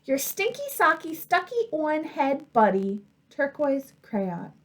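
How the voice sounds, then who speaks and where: Woman doing a high, bewildered, grumbling crayon. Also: big pitch swings, slow, exaggerated voice. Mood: wry, complaining. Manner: wry, complaining. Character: smelly, bewildered turquoise crayon stuck to sock.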